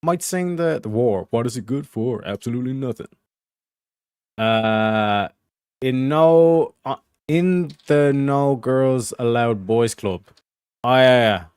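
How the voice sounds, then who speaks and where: Male gaming streamer, unhurried, profane and joking, dry close mic. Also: mid-range voice, darkish tone, smooth, no room sound. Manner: profane, joking, talks to chat while playing. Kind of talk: casual gaming livestream chat.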